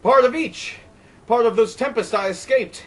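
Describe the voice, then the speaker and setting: A man's voice: normal speed, distant mic. A man voicing a frantic, terrified man who rages and pleads against the wind. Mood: feverish. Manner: shrill, shouting, panicked.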